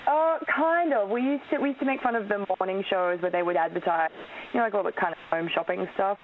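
A woman's voice: mid-range voice, fast. Chatty Australian woman on a phone line. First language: Polish. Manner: chatty, laughing often, many ums. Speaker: actress, interview guest. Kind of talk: casual interview chat. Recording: tinny phone-like radio line with faint hiss.

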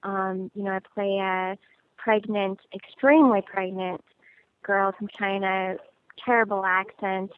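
An actress being interviewed over the phone, chatty and bubbly, laughing often. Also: female voice, medium pitch, fairly even intonation, measured pace, smooth, no room sound. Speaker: actress guest. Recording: tinny phone line.

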